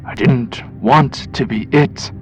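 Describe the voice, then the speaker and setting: A man voicing a weary, regretful man with a secret in a horror audio tale, breathy and pleading. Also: medium pitch, takes it slowly, soft background music, pitched above the performer's own voice, lightly acted. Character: Liam, weary, regretful man hiding an unearthly nature. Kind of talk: dramatic horror audio storytelling.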